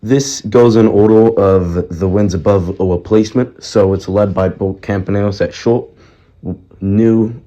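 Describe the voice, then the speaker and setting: A man with a raspy, sick-sounding voice narrating baseball history casually, loose and rambling, correcting himself as he goes. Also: fairly low pitch, steady tone, a little slow, warm tone, muffled recording. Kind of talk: casual narration over game footage.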